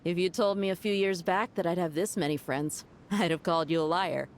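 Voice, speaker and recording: Actress as a low-voiced, wry desert mercenary woman. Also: fairly quick, a little hiss or hum, lightly acted. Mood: amused, friendly. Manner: casual, wry. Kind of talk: video game voice acting.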